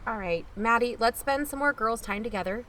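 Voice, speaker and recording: Woman playing bright-voiced, watchful protective aunt. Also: a little high, a little fast, slight background noise, low-quality recording. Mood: wary. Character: watchful, protective aunt narrating her own mystery. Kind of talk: first-person audio drama narration.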